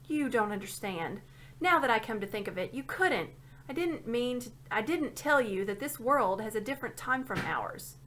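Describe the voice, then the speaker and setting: A woman's voice: on the high side, lively intonation, normal speed, fairly bright tone, slight background noise. A woman voicing a cross, sulky schoolboy in a storybook, grumbling and snappish. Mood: impatient explaining.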